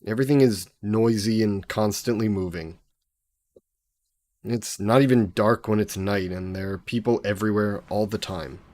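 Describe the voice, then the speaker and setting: A man's voice: fairly low pitch, normal speed, a little mellow, close to an everyday voice. A man playing a sassy, possessive, centuries-old demon in an audio roleplay, speaking haughtily and sardonically in formal wording. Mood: grumbling, disdainful. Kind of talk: scripted audio roleplay addressed to the listener.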